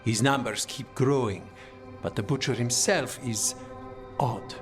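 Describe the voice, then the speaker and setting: A man voicing a composed, calculating assassin leader in a video game, with a measured, formal delivery. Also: medium pitch, faint music behind, close to an everyday voice.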